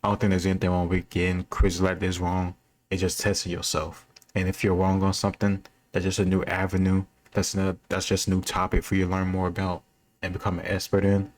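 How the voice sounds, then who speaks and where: A man with a very deep voice talks casually as a reaction video host. His intonation stays quite flat as he reasons aloud and shares opinions in an unscripted way. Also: fairly quick. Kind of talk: casual off-the-cuff commentary.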